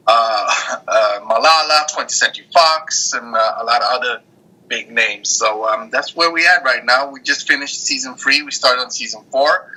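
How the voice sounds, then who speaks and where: Guy raised in norway, husky voice, earnest and kinda halting, sounds like a phone call. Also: mid-range voice. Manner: earnest, steady, a bit halting. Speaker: guest comic creator. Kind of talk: casual interview. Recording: tinny phone-like line.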